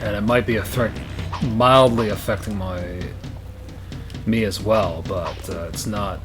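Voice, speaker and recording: A man's voice: fairly low pitch, fairly even intonation, unhurried, over music. A man playing a game and chatting casually, thinking aloud and giving short replies. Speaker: let's play co-host. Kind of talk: casual chat while playing.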